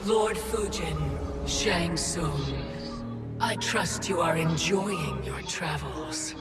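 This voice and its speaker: An actor playing a mocking villain lord in a video game cutscene, with mock courtesy and a drawn-out delivery. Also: male voice, on the high side, gravelly, heavily acted. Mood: taunting. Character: mocking, drawling villain lord taunting his foes. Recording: echoing, distant, under loud music.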